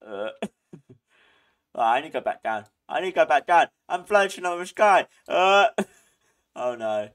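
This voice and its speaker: A man hosting a gaming channel, giving excitable play-by-play commentary, often laughing and yelping in alarm. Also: a little high, measured pace, long pauses. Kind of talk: live gameplay commentary.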